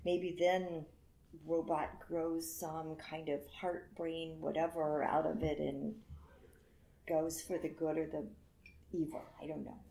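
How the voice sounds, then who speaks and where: A woman's voice: low voice, little pitch movement, slow pace, gravelly, dark tone, rough recording. A middle-aged or older woman giving her opinions as a guest in a casual chat.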